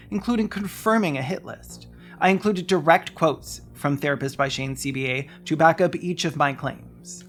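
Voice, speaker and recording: A narrator reading a scripted video essay in a measured way, including emails read aloud. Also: medium pitch.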